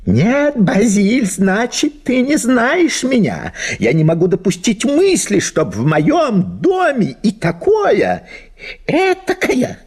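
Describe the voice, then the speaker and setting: A man's voice: high voice, huge pitch swings, slow pace, darkish tone, slightly rough, pitched above the performer's own voice, exaggerated voice. A man voicing a hysterical, prudish wife who pleads and scolds melodramatically in an audio play. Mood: indignant, commanding. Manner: breathless, pleading, melodramatic exclamations. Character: hysterical, prudish, indignant wife at a country house.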